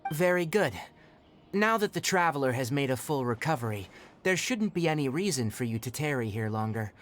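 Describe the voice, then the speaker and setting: A man voicing a composed, astute young forest ranger in a video game, speaking in a measured, matter-of-fact, articulate way. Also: medium pitch, expressive, a bit bright, lightly acted. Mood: calm, businesslike. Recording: background music under the voice.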